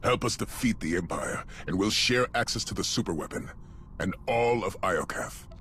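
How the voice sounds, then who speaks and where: An actor playing a proud, stubborn older supreme commander in a video game, gruff and defiant. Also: male voice, fairly low pitch, normal speed, a bit bright, slight background noise, close to an everyday voice. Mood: urging. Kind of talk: video game cutscene acting.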